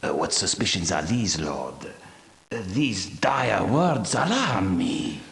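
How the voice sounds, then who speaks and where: Servile lurker, wildly swooping voice. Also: male voice, low-pitched, very animated, slow, vocal fry, fairly bright tone, full character voice. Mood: anxious, deferential. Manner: hesitant, deferential, swooping. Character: servile, anxious lurker attending his lord. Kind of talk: scripted video game dialogue. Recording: echoey reverberant room.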